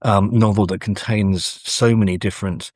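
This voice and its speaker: A man's voice: fairly low pitch, fairly even intonation, normal speed, no room sound, clean studio sound. A man talking as an academic guest, considered and hesitant, in a scholarly conversation. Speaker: academic author, interview guest.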